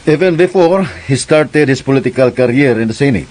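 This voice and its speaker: A man playing a serious, easily irritated, commanding young man in a radio drama, with a stern, earnest delivery and a husky voice. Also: medium pitch, animated, moderate pace, a little mellow, close to an everyday voice.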